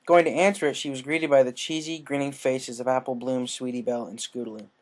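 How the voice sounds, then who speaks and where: A narrator reading scripted fan fiction aloud in an expressive storytelling style. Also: mid-range voice, fast talker.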